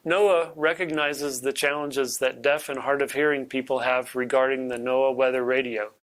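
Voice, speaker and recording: A man's voice: medium pitch, steady tone, moderate pace, fairly bright tone, a little hiss or hum, low-quality recording. A man presenting scripted public safety information as a weather service technician.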